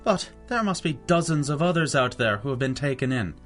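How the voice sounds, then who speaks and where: Male actor for parish priest in radio play: medium pitch, earnest, concerned, faint music beneath. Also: animated, brisk, a bit bright, lightly acted. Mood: indignant concern. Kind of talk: radio drama acting.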